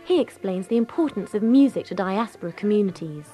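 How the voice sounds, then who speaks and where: A woman's voice: medium pitch, expressive, fairly quick, warm tone, faint music behind. A woman presenting a radio documentary, reading scripted narration.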